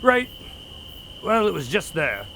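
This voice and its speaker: A man playing an earnest, observant doctor who investigates a case, speaking in a measured, explanatory way in an audio drama. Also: high-pitched, big pitch swings, creaky, pushed and bright, slight background noise, heavily acted, low-quality recording.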